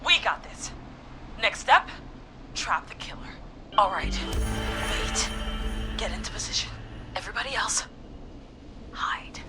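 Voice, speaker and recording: A woman voicing a gutsy, nervy teenage girl who leads an escape plan in a video game, excitable and rallying. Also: mid-range voice, unhurried, long pauses, natural voice. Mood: excited, urgent. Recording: music behind the voice.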